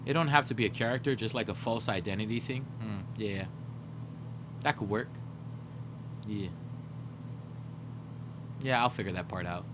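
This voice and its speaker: A young man livestreaming, chatting casually with his viewers and rambling as he brainstorms jokes out loud. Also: on the low side, normal speed, long pauses, background noise. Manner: rambling, brainstorming jokes aloud, talking to chat. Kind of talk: casual chat with live viewers.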